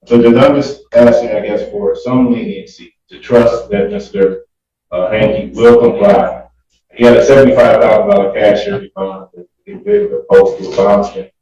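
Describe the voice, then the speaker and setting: A man speaking as a defense lawyer pleading to a judge, hesitant, halting and deferential, recorded in a courtroom. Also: lowish voice, animated, dark tone, faint music behind. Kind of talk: spontaneous plea to a judge in court. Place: indoor courtroom. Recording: muffled, echoey courtroom, distant mic.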